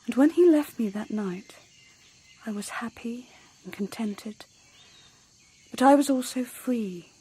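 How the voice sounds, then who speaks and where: An actress playing a cool, self-possessed young woman in a radio drama, with a hushed, candid manner. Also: on the high side, slow, pauses often, slightly rough. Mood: candid, wistful. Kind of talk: intimate radio drama acting.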